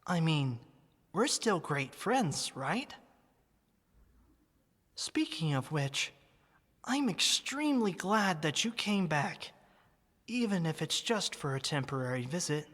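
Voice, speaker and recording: A woman voicing a gentle, wistful, loving teddy bear in an ASMR roleplay, speaking softly and tenderly. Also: deep voice, very animated, full character voice. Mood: fond. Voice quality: soft, breathy. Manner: slow, tender, sentimental. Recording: long reverb.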